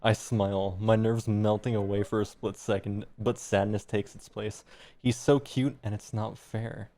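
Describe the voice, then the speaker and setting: A man's voice: lowish voice, flat delivery, a little slow, dark tone. A man streaming, reading fan fiction aloud with some embarrassed amusement.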